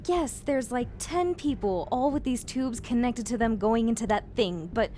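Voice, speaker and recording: A woman's voice: fairly high pitch, normal speed, warm tone, slight background noise, natural voice. A woman playing a frightened but blunt young captive in a sci-fi audio drama, incredulous and questioning. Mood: uneasy disbelief. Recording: music behind the voice.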